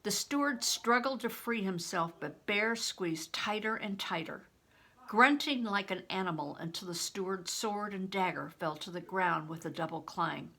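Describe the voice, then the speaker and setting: An older woman reading a children's novel aloud as a storyteller, in expressive, deliberate audiobook narration. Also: mid-range voice, husky.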